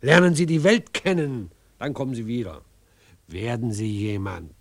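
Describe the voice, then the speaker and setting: A man's voice: medium pitch, normal speed, gravelly. A male actor playing a pompous, patronising cabaret impresario in a German radio drama, with theatrical, condescending delivery.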